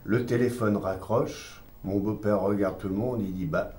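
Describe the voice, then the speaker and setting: A man, telling his memories and anecdotes in a calm way. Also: lowish voice, moderate pace, echoey room. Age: 60s. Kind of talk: casual chat.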